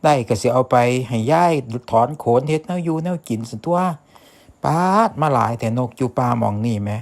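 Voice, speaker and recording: A man's voice: medium pitch, lively intonation, a little fast, gravelly, a little mellow. A man voicing a cheeky teenage village boy with an Isan accent, exclaiming to himself in a folk tale. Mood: excited, grumbling. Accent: Isan, northeastern Thai. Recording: echoey reverberant sound with music behind.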